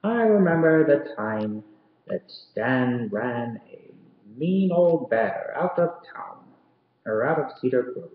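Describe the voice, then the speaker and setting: A man's voice: medium pitch, animated, slow pace. A man voicing a kind male policeman in a storybook, using a put-on Irish accent. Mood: friendly. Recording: roomy, slightly dull recording.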